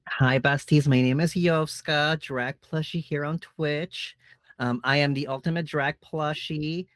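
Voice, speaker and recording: A man's voice: fairly high pitch, fairly even intonation, unhurried, a bit bright, dry recording. Chatty man from Canada, dry recording. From born in Mexico, grew up in Canada from age seven. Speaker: panelist, drag streamer. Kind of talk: casual self-introduction.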